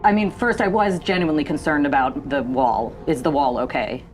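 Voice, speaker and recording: A woman's voice: sits low, normal speed, soft background music. A woman playing a tabletop game, talking in a wry, playful way. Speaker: player.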